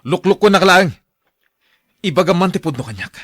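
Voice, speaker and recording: A man's voice: high-pitched, expressive, a little fast, a bit bright. An actor playing a stubborn, lovestruck young man, a devoted son, in an Ilocano radio drama. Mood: hurt, angry. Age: young adult.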